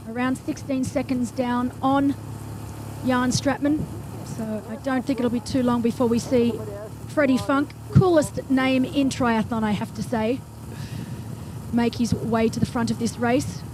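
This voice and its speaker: High-voiced woman commentating a race. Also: fairly even intonation, background noise. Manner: brisk, enthusiastic play-by-play with asides. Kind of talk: live sports commentary.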